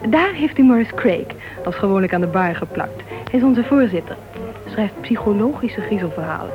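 A woman's voice: medium pitch, expressive, moderate pace, lightly acted. A tinny, narrow old radio recording with music behind. An actress plays a sociable, gossipy clubwoman who chats confidingly and takes offence easily. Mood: chatty, confiding. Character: gossipy, sociable woman writers' club committee member. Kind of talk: radio play acting in Dutch.

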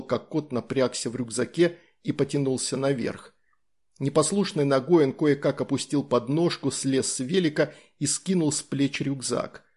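A man's voice: medium pitch, a little mellow. A man narrating a scripted story as an audiobook reader.

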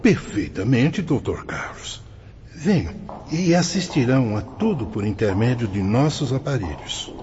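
A man's voice: mid-range voice, very animated, unhurried, crowd noise. An actor playing a solemn, benevolent spirit director in a Brazilian radio drama, speaking in an oratorical, fatherly way. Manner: oratorical, fervent, paternal. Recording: dull lo-fi radio drama, loud music behind.

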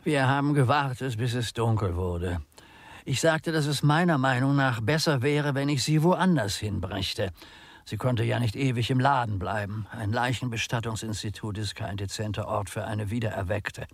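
A man's voice: medium pitch, normal speed, dry recording, natural voice. An actor playing an anxious, earnest male undertaker in a German radio play, formal and polite, stammering when flustered. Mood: quiet, solemn. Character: anxious, earnest small-town male undertaker, secretive and proper.

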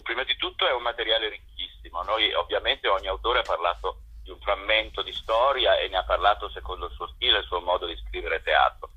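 A man's voice: sits low, brisk, few pauses, slightly rough. A man, a theatre co-director, speaking as a guest in a radio interview by phone, hesitant and often repeating words as he searches for them. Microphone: telephone. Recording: tinny phone line.

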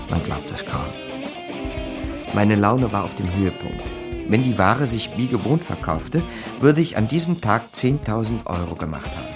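An actor playing a smooth, glib small-time con man narrating his capers in a German radio crime drama. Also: on the low side. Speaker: narrator and lead character.

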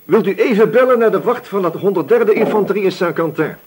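An actor playing a male police inspector in a radio drama, questioning patiently but insistently with a slightly husky voice and deliberate pauses. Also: high voice, lively intonation, darkish tone, a little hiss or hum. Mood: stern, insistent. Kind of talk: Dutch radio drama interrogation acting.

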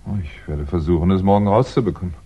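An actor playing a polite amateur investigator and house guest in a 1960s German radio crime drama. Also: male voice, sits low, fast talker, slightly rough, lo-fi sound. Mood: polite, subdued.

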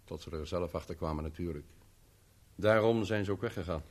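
An actor in a 1970s radio play playing a bitter, vengeful older servant, speaking in a grave, formal, terse way. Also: male voice, deep voice, brisk, darkish tone.

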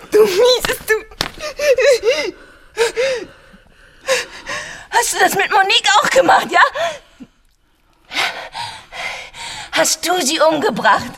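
An actress playing a guarded, sharp-tongued young woman, the narrator's lover. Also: very high voice, lively intonation, slow, creaky, a little hiss or hum, heavily acted. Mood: furious.

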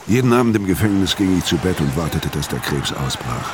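Deep-voiced, haunted ex-con narrator. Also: male voice, expressive, fairly quick, background noise. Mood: wistful. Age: 50s. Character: weary, haunted older male ex-convict telling his story. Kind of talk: first-person narration in radio crime drama.

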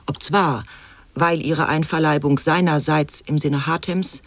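A woman's voice: lowish voice, no echo, lightly acted. An actress playing a formal, hair-splitting woman reciting convoluted, absurd wordplay in an avant-garde radio play.

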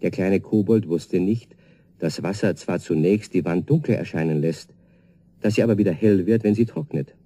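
A man narrating a children's radio play as a calm storyteller, reading in a scripted storybook style. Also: deep voice, normal speed. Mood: measured.

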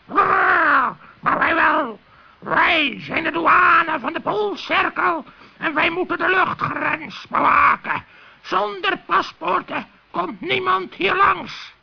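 A performer playing a stubborn, officious male gannet working as a border guard in a children's radio play, with a squawking, creaky voice. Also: extremely high pitch, takes it slowly, full character voice. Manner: officious, unbending. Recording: dull old radio play recording.

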